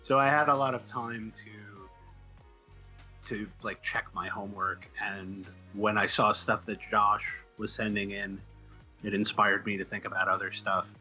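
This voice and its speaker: A man's voice: on the low side, fairly even intonation, a little slow, pushed and bright, soft background music, poor audio. An American man from the Midwest telling stories casually as an interview guest, in a hesitant, discursive way. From Midwestern United States. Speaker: comic book writer, interview guest. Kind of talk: casual conversational storytelling.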